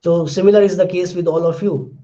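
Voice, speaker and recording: Indian English man, linguistics lecturer, high mellow voice, slightly dull lo-fi recording. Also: fairly even intonation, fairly quick. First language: Hindi. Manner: methodical, recaps terms, tags points with "fine". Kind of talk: classroom lecture.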